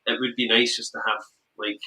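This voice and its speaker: A man's voice: mid-range voice, flat delivery, a little fast, pushed and bright. A man with a Scottish accent hosting a show, chatting casually and teasing his guest in a jokey way. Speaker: podcast host. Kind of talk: casual chat.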